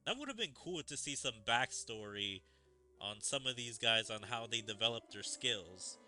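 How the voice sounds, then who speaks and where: Bright-voiced male streamer musing over a game. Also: mid-range voice, expressive, normal speed. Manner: musing, casual with many "like" fillers. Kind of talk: gameplay commentary. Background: game music.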